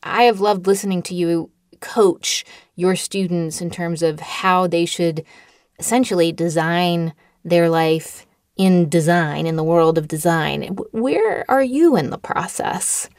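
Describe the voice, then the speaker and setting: A woman's voice: on the low side, measured pace, a little mellow, no echo. A woman hosting a radio interview in a conversational style, recorded in a studio. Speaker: interviewer, show host.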